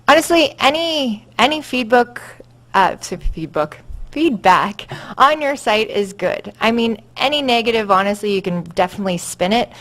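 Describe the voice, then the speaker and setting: Woman presenter, chatty, lots of fillers and laughs, mid-pitched voice. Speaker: seminar presenter. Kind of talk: conference session talk.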